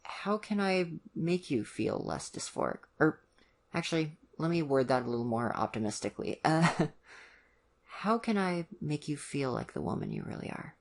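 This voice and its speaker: A performer playing an awkward, caring young college boyfriend in an ASMR roleplay, speaking hesitantly and gently to the listener. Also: mid-range voice, sing-song. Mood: tender, earnest. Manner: hesitant, gentle, awkward, many ums and pauses. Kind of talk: ASMR roleplay, one-sided conversation with the listener.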